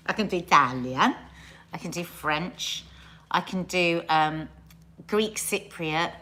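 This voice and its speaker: A woman's voice: on the low side, lively intonation, takes it slowly, bright, forceful tone. A middle-aged woman hosting a casual livestream, chatting with viewers, often trailing off and restarting her sentences. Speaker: livestream host.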